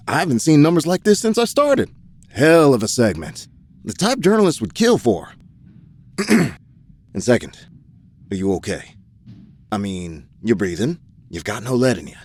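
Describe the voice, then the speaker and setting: An actor playing a glib, chummy news boss in an audio drama, gushing and chatty. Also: male voice, fairly low pitch, very animated, unhurried, pauses often, darkish tone.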